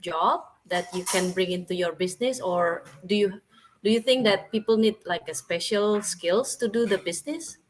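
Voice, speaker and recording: A woman hosting an online interview show, speaking haltingly with many fillers. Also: mid-range voice, a little hiss or hum, rough recording. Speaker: host.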